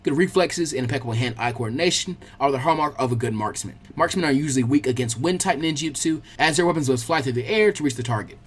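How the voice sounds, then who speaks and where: A man's voice: medium pitch, expressive, fast talker, few pauses, breathy edge. A man reading a scripted story aloud as its narrator.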